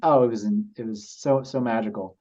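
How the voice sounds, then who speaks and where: A man speaking as a podcast guest, telling personal stories in a halting, reflective and earnest way. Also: on the low side, fairly even intonation, warm tone. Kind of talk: casual interview storytelling. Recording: slightly dull lo-fi audio.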